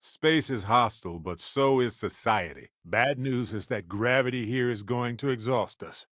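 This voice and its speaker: An actor playing an earnest, wry, talkative space explorer companion in a video game, speaking in a conversational, reflective way. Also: male voice, mid-range voice, normal speed, a bit bright, dry recording, studio quality, natural voice.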